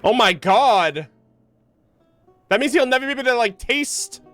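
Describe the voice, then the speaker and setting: A man's voice: very high voice, expressive, unhurried, a bit bright. A man streaming a game, reacting and musing aloud in casual, slangy speech with short interjections. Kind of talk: casual live reaction and commentary. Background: game music.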